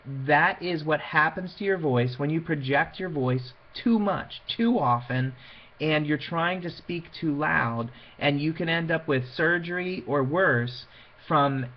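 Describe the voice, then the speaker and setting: A man's voice: fairly high pitch, slow, dull audio. A man giving an informal lecture to an audience, speaking in a conversational, emphatic way and acting out little asides. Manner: conversational, emphatic, illustrates points with quoted asides. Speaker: lecturer on PA systems for wedding officiants.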